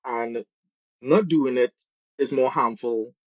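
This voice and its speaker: Podcast guest: Trinidadian man, mid-pitched voice, conversational answers, dry but slightly dull recording. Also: takes it slowly, no room sound. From Trinidad and Tobago. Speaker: podcast guest, program manager and musician.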